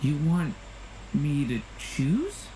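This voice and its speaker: A woman voicing a gentle young dragon boy in a video game, soft and boyish, hesitant and reflective. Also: sits low, big pitch swings, very slow, heavily acted. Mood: surprised, touched. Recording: roomy game audio, faint noise.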